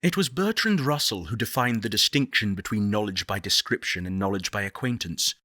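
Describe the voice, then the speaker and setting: A man playing an earnest, eloquent space-program director in a video game, speaking in measured, deliberate oratory. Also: lowish voice, animated, bright, forceful tone, no echo, studio quality. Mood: reverent, visionary. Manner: articulate, deliberate, solemn.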